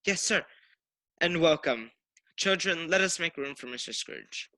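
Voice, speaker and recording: A meek family man and clerk acted by a man, hesitant and deferential. The voice is bright and slow, very dry, in radio drama style. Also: fairly high pitch, animated, no echo, exaggerated voice. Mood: grateful, welcoming. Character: meek, humble male London clerk and father. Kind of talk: radio play acting.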